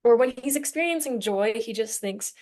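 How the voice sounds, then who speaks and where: A woman's voice: on the high side, expressive, moderate pace, darkish tone. A young woman in a casual student podcast discussion, informal and often searching for her words. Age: young adult. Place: remote internet call.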